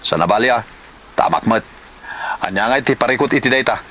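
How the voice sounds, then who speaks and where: A man's voice: mid-range voice, fairly quick, a bit bright, a little hiss or hum, natural voice, low-quality recording. A man acting a lazy, hungry gambler husband who freeloads, in an Ilocano drama. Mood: demanding. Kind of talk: Ilocano comedy drama dialogue.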